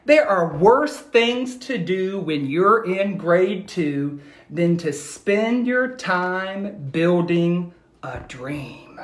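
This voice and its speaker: A man's voice: high-pitched, animated, extremely slow. A middle-aged man reading aloud expressively, as an educator addressing young listeners directly and encouragingly. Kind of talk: expressive children's read-aloud. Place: indoor room at home. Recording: echoey room, phone video.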